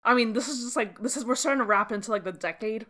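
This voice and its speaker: A woman's voice: fairly high pitch, quick pace, a bit bright. A woman in her mid twenties from Canada, chatting casually as a podcast co-host in an informal, sweary way. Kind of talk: casual chat.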